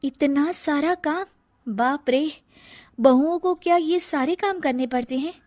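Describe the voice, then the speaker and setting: Woman voicing a high-pitched, flustered young bride. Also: unhurried, a little mellow, dry recording, studio quality. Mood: dismayed. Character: young bride, secretly a shape-shifting serpent, dutiful but flustered. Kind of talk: Hindi cartoon story dialogue.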